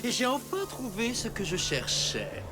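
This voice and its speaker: A cackling cartoon villain. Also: female voice, fairly high pitch, wildly swooping pitch. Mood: gloating. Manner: cackling, theatrical, drawn-out gloating. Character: gloating male cartoon villain, vain and scheming. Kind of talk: French cartoon dub. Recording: loud background music. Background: cartoon score.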